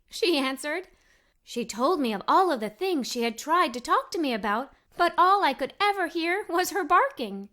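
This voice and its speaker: A woman reading a children's story aloud in the first person as a young girl, expressive and full of wonder. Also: high-pitched.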